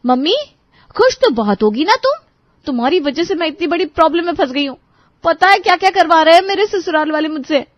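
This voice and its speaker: A woman's voice: very high voice, lively intonation, fairly quick, no room sound. A woman playing an exasperated young bride. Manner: complaining, frantic. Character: young daughter-in-law, exasperated and whiny. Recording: dry, slightly muffled studio voice.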